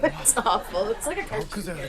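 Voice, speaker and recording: A woman's voice: mid-range voice, big pitch swings, normal speed, music in the background, a crowd in the background. A woman giving casual, conversational reaction commentary as a co-host.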